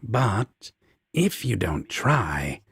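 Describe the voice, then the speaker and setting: A man playing a protective, laid-back devil roommate in an intimate roleplay audio, speaking in a husky, breathy, affectionate drawl. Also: fairly low pitch, sing-song, extremely slow, a bit bright, dry recording, clean studio sound, full character voice. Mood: gently reassuring. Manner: drawling, affectionate, casual reassurance.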